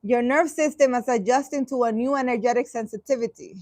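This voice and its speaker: Spiritual vlogger, woman, higher register, dry close sound; reads aloud, reacts with sarcastic exasperation, halting and profane. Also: a little mellow, no room sound. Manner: halting, restarting sentences. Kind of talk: reading a dream interpretation aloud and commenting.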